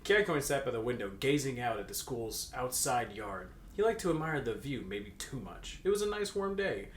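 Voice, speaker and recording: A man's voice: mid-range voice, lively intonation, moderate pace. A man doing a comedic, mock-dramatic read-aloud of fan fiction with joking banter. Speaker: co-reader reading fan fiction aloud with commentary. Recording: echoey room with faint background noise.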